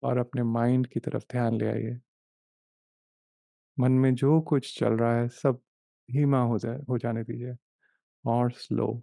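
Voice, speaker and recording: Man, meditation guide, very slow pace, mellow creaky voice, gentle delivery, close dry sound. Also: mid-range voice, flat delivery, no echo. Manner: gentle, unhurried, short repeated phrases. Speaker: meditation guide, life coach. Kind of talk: guided meditation, slow soothing instruction with long pauses.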